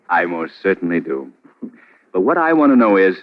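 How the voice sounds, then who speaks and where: Assured crime-fighter, wide expressive inflection, declamatory radio drama, dull vintage recording. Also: male voice, low-pitched, moderate pace. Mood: playful, fond. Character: commanding male crime-fighter, self-assured hero. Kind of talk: 1940s radio drama acting. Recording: muffled old-time radio recording.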